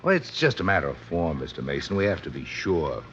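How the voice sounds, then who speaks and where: A man's voice: low-pitched, very animated, fairly quick, fairly bright tone. An American man playing a blunt, skeptical middle-aged police captain in a 1950s radio drama. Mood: official, courteous. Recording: dull, noisy vintage radio recording.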